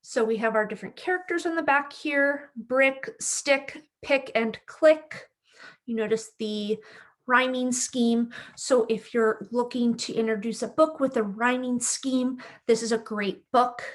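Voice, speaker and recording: A woman hosting as a speech therapist, speaking in a clear educational presenting style. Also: a little high, fairly even intonation, unhurried. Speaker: speech-language pathologist, host. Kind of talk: children's read-aloud and educational explanation.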